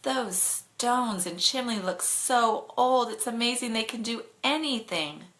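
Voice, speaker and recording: Woman acting a feisty, bright-voiced teen girl. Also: fairly high pitch, expressive, measured pace, pitched above the performer's own voice. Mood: sarcastic. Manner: indignant, sharp-tongued. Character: feisty, sarcastic, anxious American teenage girl. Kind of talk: bedtime storybook reading.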